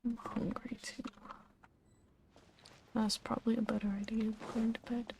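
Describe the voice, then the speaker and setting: A woman's voice: a little high, flat delivery, normal speed, background noise. A tired woman streaming a video game, muttering and whispering casual asides to herself. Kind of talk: casual gameplay commentary. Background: game music.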